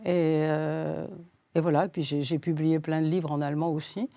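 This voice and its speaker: A woman's voice: sits low, flat delivery, normal speed, dark tone, no echo. A woman in her eighties, a guest in a studio interview, talking in a rambling way with many hesitations and repeated words. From Switzerland. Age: 80s. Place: studio set.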